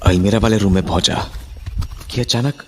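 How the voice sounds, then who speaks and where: A man telling a horror story in the first person, suspensefully, with drawn-out dramatic pauses. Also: fairly low pitch, slight background noise. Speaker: narrator of a horror story, voicing its main character. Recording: music behind the voice. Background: music.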